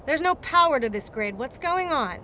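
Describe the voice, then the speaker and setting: A brash, impatient survivor in an audio drama, blunt and threatening. Her high voice jumps around with lively, mocking swings. The background is clearly noisy. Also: normal speed. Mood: suspicious, threatening. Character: hot-tempered, impatient, threatening female post-war scavenger.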